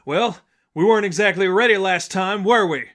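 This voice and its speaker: Casting: man as brash action heroine, high and loud, argumentative, for an adventure story. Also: normal speed. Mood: brash, goading. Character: brash female fighter, arguing loudly over combat. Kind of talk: audiobook-style character acting.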